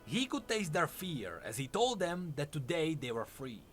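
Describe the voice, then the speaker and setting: Animated male lore narrator, higher-pitched voice. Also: normal speed. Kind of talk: scripted lore storytelling narration. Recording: music under the narration. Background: background music.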